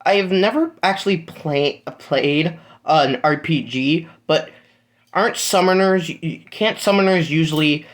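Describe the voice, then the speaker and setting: A storyteller voicing a calm, practical young heroine. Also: mid-range voice, a little slow, close to an everyday voice. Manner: matter-of-fact.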